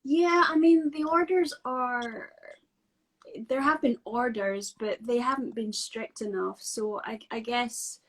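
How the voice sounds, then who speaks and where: A woman's voice: a little high, fairly even intonation, slow pace, rough recording. A woman from Scotland with a Scottish accent, a guest talking casually from home, with many fillers and restarts. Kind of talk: casual video-call interview.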